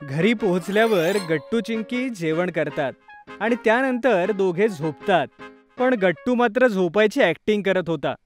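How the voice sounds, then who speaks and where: Children's cartoon storyteller: woman, mid-pitched voice, scripted narration with music underneath. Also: lively intonation, normal speed.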